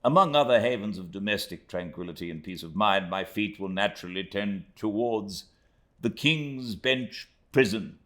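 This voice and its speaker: A man voicing a pompous, florid, self-pitying middle-aged gentleman, reading out a letter with grand swells and theatrical drops in his voice. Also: lowish voice, expressive, normal speed. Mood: pompous lament.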